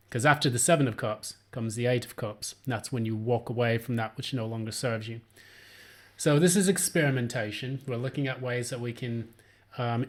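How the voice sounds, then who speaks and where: Hoarse man giving a tarot reading. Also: medium pitch. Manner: rambling, many filler words and restarts. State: hoarse, voice still recovering. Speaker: tarot reader. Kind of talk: casual solo tarot reading.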